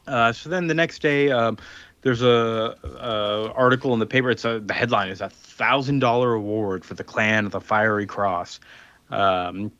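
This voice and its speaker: A man's voice: on the low side, fairly even intonation, moderate pace, a bit bright, no room sound, muffled recording. A man casually recapping a comic book as a podcast co-host, rambling with ums and restarts and acting out quotes.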